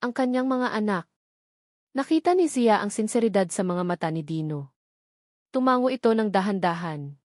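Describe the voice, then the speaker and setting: A woman with a Filipino accent narrating a scripted dramatic love story in measured phrases with deliberate pauses. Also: mid-range voice, animated, darkish tone, no echo, studio quality. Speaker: audiobook narrator.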